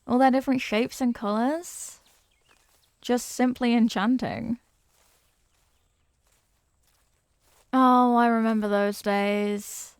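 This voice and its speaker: Woman acting a sweet, wistful childhood friend, higher-pitched, close-up. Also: steady tone, a little slow, smooth, no echo, lightly acted. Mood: fondly nostalgic. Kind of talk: scripted ASMR roleplay spoken to the listener.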